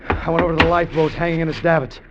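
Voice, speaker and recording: A man's voice: on the high side, little pitch movement, very fast, muffled recording, lightly acted. Man acting a scheming, treasure-mad radio drama sailor. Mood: desperate. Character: Danny, scheming treasure-mad sailor turned gunman, confessing his crime. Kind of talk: old-time radio drama acting with first-person narration. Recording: vintage radio broadcast with music.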